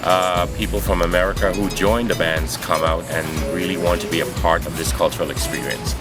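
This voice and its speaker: Very deep male voice, rousing, speech-like delivery, music behind. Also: steady tone, brisk. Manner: emphatic, speech-like. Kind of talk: interview.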